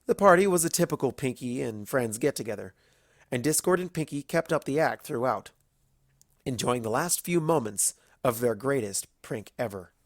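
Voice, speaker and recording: An expressive storybook narrator with a mid-pitched voice. The reading is animated, with plenty of rise and fall, and the sound is close and dry. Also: moderate pace, no room sound.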